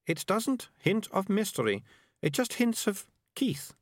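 A man's voice: high voice, sing-song, measured pace, no echo, clean studio sound, pitched above the performer's own voice, heavily acted. A man voicing a bossy, imaginative young girl with a prim, know-it-all manner.

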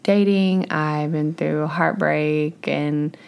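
A woman talks her way through a casual podcast with her husband. Her voice sits a bit low and is slightly scratchy, and she tells stories in a lively, animated way, laughing often. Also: slow pace, gravelly, clear, resonant tone. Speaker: podcast co-host. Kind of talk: casual chat.

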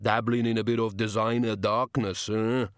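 A man voicing a menacing, hairy male bogeyman with a slow, taunting drawl. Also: fairly low pitch, flat delivery, no room sound, clean studio sound, lightly acted. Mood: leering. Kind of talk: audiobook character voice.

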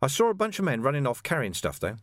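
A brisk, wry young woman, as a male narrator puts her on, practical and unimpressed. Her lines move with lively pitch. The storybook reading sounds dry and close. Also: dry recording.

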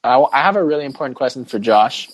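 A man's voice: medium pitch, animated, fast talker, husky, rough recording. A man hosting a casual sports podcast, talking bluntly and informally and putting questions to the others. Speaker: podcast host.

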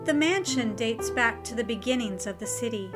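An actress playing a commanding, refined older matriarch of a wealthy family in a 1930s audio drama. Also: female voice, a little high, lively intonation, normal speed, over loud music, lightly acted. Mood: poised, appraising. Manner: refined, formal, composed.

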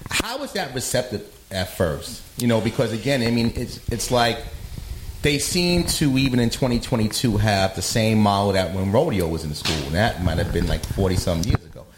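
A man's voice: on the low side, lively intonation, moderate pace, roomy sound, a little hiss or hum, low-quality recording. A man hosting a radio show, interviewing a guest in a casual, conversational way.

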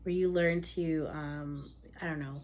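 Laid-back woman, slightly low voice. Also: unhurried, a little hiss or hum. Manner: relaxed, trailing, filler-heavy phrasing. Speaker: podcast co-host, actor. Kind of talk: casual chat.

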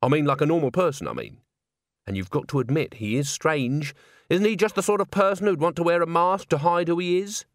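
A man's voice: on the high side, fairly quick, no room sound, clean studio sound, pitched above the performer's own voice, lightly acted. A man voicing an earnest, anxious young woman who speaks hesitantly and doubts herself, in an audiobook story. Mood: insistent. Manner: hesitant, stammering.

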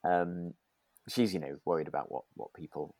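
A man's voice: low voice, steady tone, normal speed, a little mellow, no room sound. A man co-hosting a podcast, chatting casually and agreeably in a rambling, hesitant way. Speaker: podcast co-host. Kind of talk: relaxed casual discussion of a radio drama.

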